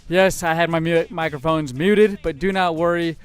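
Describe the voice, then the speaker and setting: Male streamer, high clear voice, informal and showy host delivery, faint music underneath. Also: fairly even intonation, moderate pace. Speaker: livestream host. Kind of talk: casual live game stream chat.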